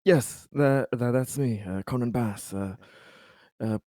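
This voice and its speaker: A man playing in a tabletop roleplaying game, asking questions in a polite, halting, improvised way. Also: medium pitch, dark tone, no room sound, clean studio sound.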